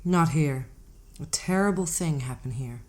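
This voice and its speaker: Mysterious otherworldly woman drifter, deep female voice, grave, measured and ominous, for audio drama. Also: a little mellow. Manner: measured, cryptic, grave storytelling. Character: enigmatic woman hitchhiker, calm, knowing, ominous otherworldly collector.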